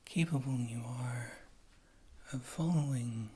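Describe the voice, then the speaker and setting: A performer acting out a witch healer in an ASMR fantasy roleplay, low in pitch and very breathy, often dropping to a whisper. The witch talks slowly and haltingly, with dry disdain for adventuring customers. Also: mellow. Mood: wry, unhurried. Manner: slow, halting, dryly disdainful. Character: witch healer, wry, condescending adventurers' shopkeeper.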